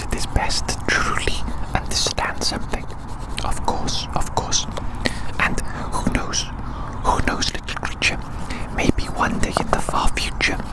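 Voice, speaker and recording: An ASMR host whispering in a breathy, unvoiced voice, musing playfully and gently repeating phrases, close to the mic in a small outdoor park. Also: low-quality recording. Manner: playful, silly.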